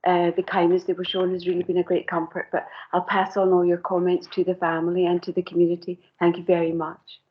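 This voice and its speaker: A woman, a new committee member, speaking in measured formal remarks with some ums at a council meeting. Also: fairly low pitch, monotone, slight background noise. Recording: dull lo-fi meeting audio.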